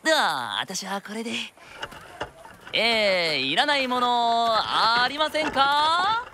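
A woman's voice: fairly high pitch, big pitch swings, slow, background noise. A woman playing a cheerful, naive teenage boy shopkeeper in a radio drama, with polite, eager merchant patter. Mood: hesitant, polite. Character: cheerful naive teenage boy, young secondhand-shop owner. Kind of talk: anime-style radio drama acting.

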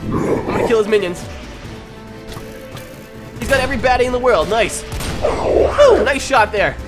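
A man playing a video game with excitable, exclamatory roleplay chatter. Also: very high voice, normal speed, over music. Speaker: gaming video player in roleplay.

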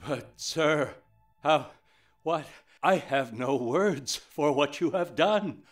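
Slow, theatrical showman. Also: a little high, soft background music. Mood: dismayed. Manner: theatrical, deliberate. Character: grave showman puppeteer presenting his tale. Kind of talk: puppet film storytelling and dialogue.

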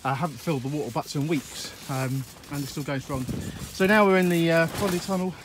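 A man's voice: on the high side, a little fast, background noise. Rambling man touring his allotment. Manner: relaxed and rambling, with frequent uhs. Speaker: allotment gardener giving a tour. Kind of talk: casual walkthrough narration. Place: outdoor allotment and polytunnel. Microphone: handheld phone camera, filming while walking.

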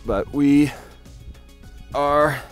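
An American man in his thirties narrating a casual fishing vlog outdoors on a frozen lake, filmed on a handheld phone and at times hushed to a murmur. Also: on the high side, steady tone, drawn-out words, soft background music. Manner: easygoing, drops to a hushed murmur while landing a fish. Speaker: fishing vlogger. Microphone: handheld phone camera.

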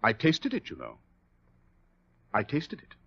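Sardonic detective, theatrical and precise, swooping intonation, old muffled radio drama sound. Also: male voice, mid-range voice, huge pitch swings, a little slow, creaky, fairly bright tone, slightly rough, exaggerated voice. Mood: coolly assured. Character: shrewd, coolly teasing male detective. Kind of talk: 1940s radio acting. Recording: muffled 1940s radio recording.

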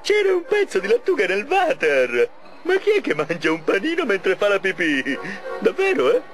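Megalomaniac game villain: fussy, swooping delivery with music behind. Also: male voice, high voice, huge pitch swings, moderate pace. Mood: irritated. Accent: Italian. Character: petulant, megalomaniac cartoon evil mastermind. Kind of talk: animated game cutscene acting.

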